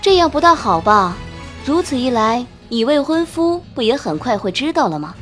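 A woman voicing a cool, weary young woman composer in an audiobook, calm, dismissive and softly sarcastic. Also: on the high side, moderate pace, faint music behind. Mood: mocking.

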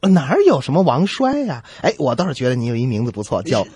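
A man co-hosting a comedy radio show, delivering scripted banter with animated mock exasperation. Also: medium pitch, fast talker, breathy edge, dry recording. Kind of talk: scripted comic banter between radio hosts.

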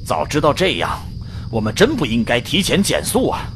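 A man playing an anxious, excitable spaceship navigator in a science fiction radio drama. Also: medium pitch, moderate pace, background noise. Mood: puzzled, worried.

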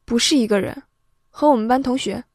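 A woman's voice: fairly high pitch, a little fast, a little mellow, no room sound, clean studio sound, close to an everyday voice. A woman playing a curious young student who is eager about ghost stories in an audio drama. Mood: earnest.

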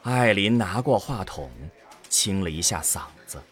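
A man's voice: on the low side, lively intonation, unhurried, fairly bright tone, faint music behind. A man delivering scripted narration for an audio drama as its storyteller.

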